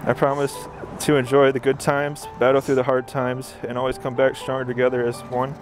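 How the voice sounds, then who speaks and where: A young man reads wedding vows in an earnest, very flat voice that hardly moves in pitch. Music plays clearly underneath him as he speaks. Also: brisk. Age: young adult. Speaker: groom. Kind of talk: reading written wedding vows. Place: outdoor wedding ceremony.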